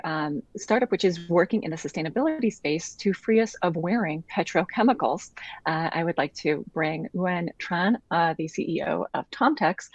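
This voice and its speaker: A woman moderating an event, introducing speakers in a friendly, halting way with ums and uhs. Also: on the low side, no echo, dull audio.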